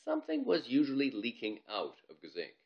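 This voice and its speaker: Male author, children's read-aloud, comic, theatrical, swooping intonation, medium pitch, slightly dull sound. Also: huge pitch swings, fairly quick. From Canada. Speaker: author reading his own book.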